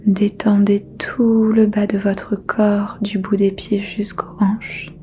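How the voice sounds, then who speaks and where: A woman whispering a guided yoga nidra meditation as its teacher, soft and unhurried throughout. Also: medium pitch, flat delivery, soft background music.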